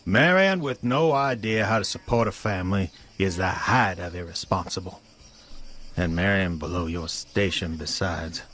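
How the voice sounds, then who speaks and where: A man's voice: low-pitched, moderate pace, a bit bright. An actor playing a stern, domineering farmer father of the early 1900s, scornful and rustic, in an audio drama. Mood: disdainful. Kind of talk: period audio drama acting.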